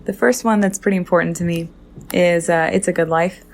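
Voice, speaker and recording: A woman's voice: lowish voice, fairly even intonation, brisk, a little hiss or hum. A woman giving chatty, articulate, self-deprecating personal feedback as a podcast listener.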